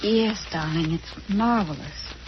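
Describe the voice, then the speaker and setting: An actress playing an anxious, guarded wife with earnest, careful delivery in 1940s radio melodrama style on a vintage recording. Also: female voice, fairly low pitch, slow, highs cut off, noisy background. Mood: soothing, guarded. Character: anxious, guarded wife, pleading with former lover.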